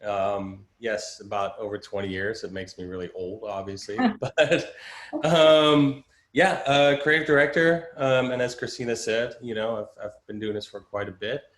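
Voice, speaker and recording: A man from the Netherlands talks through his career in a loose, rambling way, full of ums and restarts. His voice sits fairly low. The video-call audio carries a noticeable room echo. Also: normal speed. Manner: rambling, many fillers and restarts. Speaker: creative director. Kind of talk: casual interview storytelling.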